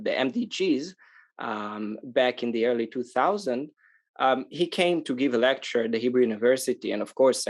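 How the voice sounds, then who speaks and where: A man from Israel speaking as a podcast interview guest, conversational, often pausing mid-phrase as he explains. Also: mid-range voice. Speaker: interview guest, sustainable tourism organization manager.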